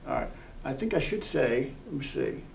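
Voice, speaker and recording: A man's voice: medium pitch, very animated, moderate pace, gravelly. A man hosting an interview program in a casual, rambling, conversational way. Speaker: TV program host. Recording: distant mic with faint room hiss.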